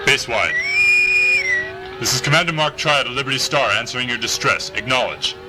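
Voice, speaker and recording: An actor playing a stern, practical starship commander in a science-fiction audio drama, speaking with formal, clipped military delivery. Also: male voice, medium pitch, animated, normal speed, natural voice, rough recording. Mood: urgent, commanding. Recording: music bed under the voice.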